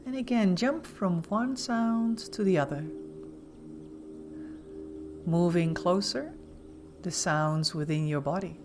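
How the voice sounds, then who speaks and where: A woman leading a guided meditation as an instructor, giving gentle, soothing, softly spoken instructions with pauses. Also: lowish voice, background noise.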